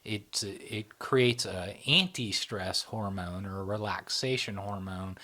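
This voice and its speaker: A man's voice: fairly low pitch, slow, sharp tone. A man in his fifties who hosts a casual psychology podcast chat, explaining things in small steps and checking in with "right?". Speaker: podcast host.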